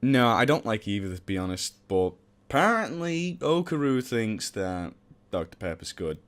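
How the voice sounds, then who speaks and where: A man's voice: lowish voice, very animated, moderate pace. A young man casually reading a visual novel aloud for a let's play. He jokes, swears and mocks himself as he stumbles over words.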